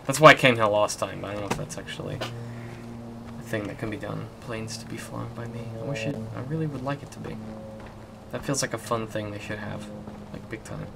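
A man hosting casual gameplay commentary, hoarse from losing his voice, rambling with self-corrections. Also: fairly low pitch, steady tone, fairly quick, very noisy. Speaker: gameplay host. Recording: loud game audio under the voice.

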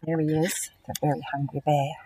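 A middle-aged woman teacher reading a picture book aloud to children in an expressive storytelling manner, recorded outdoors on a phone camera held close. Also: low voice, brisk, a little hiss or hum, poor audio. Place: outdoors in a grassy field.

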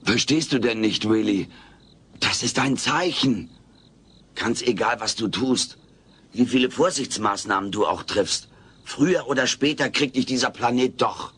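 A man's voice: mid-range voice, a little slow, fairly bright tone, husky. A man playing a wisecracking, theatrical alien creature in a German comedy audio play, talking in a pompous, melodramatic way. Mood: alarmed. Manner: pompous, melodramatic, mock-philosophical.

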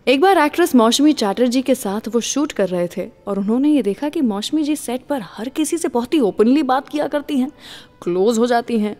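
A woman's voice: sits high, darkish tone. A woman with an Indian accent presenting a radio show and telling anecdotes as its host.

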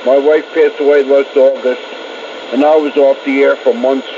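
Guy chatting hesitantly over shortwave, muffled with clear static noise. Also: medium pitch, flat delivery, moderate pace. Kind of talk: hesitant personal radio conversation. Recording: dull noisy shortwave radio reception.

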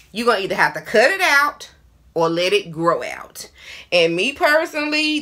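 A woman in her thirties talks straight to the camera in a small bathroom while she works through her hair. Her voice is bright and forward, with chatty, playful asides to her viewers. A hair dryer hums in the background. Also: fairly high pitch. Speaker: hair vlogger. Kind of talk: casual product review while styling hair. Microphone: camera in front, hands busy. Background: hair dryer running.

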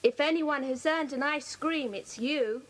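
Kindly schoolchild, British, very high voice, chirpy, earnest, children's audio drama. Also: female voice, unhurried. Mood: cheerful. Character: kindly, enthusiastic schoolchild club member.

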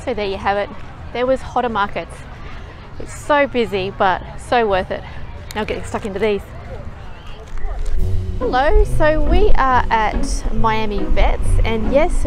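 A woman's voice: on the high side, expressive, unhurried, rough recording. A woman in her thirties hosting a casual travel vlog, chatty and upbeat, talking to camera on a lapel mic at outdoor Christmas markets. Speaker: travel vlogger host. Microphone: small lapel mic. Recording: outdoor market with loud music and crowd. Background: loud music and crowd chatter.

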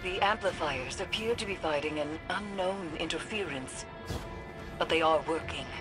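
An actress playing a solemn, steady mission handler in a video game, with a formal, measured manner. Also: female voice, fairly low pitch, natural voice, low-quality recording. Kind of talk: video game character dialogue. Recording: loud game music behind.

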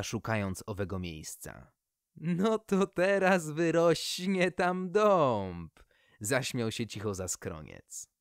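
A narrator reading a scripted children's story in an expressive, measured manner. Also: mid-range voice, no echo, clean studio sound.

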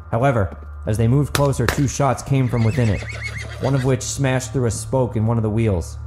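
Bright-toned-down male audiobook narrator, mellow. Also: medium pitch. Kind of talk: dramatic scripted fiction narration.

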